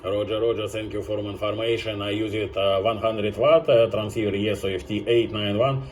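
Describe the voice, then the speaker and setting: A man's voice: lowish voice, steady tone, normal speed, heavy background noise. A man working as an amateur radio operator, exchanging short repetitive phrases and phonetic spellings in ham radio contacts.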